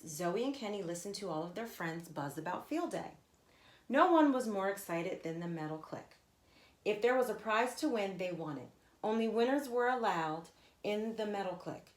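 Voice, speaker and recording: Woman, expressive story reading, deliberate emphasis, asides to listeners, medium pitch, roomy echo. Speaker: teacher reading aloud. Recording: echoey, roomy sound.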